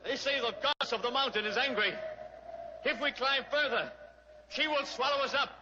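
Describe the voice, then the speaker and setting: An actor playing a frightened, superstitious native mountain guide in 1940s radio drama style. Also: male voice, very high voice, normal speed. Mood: fearful, warning. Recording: muffled, noisy, reverberant vintage radio recording.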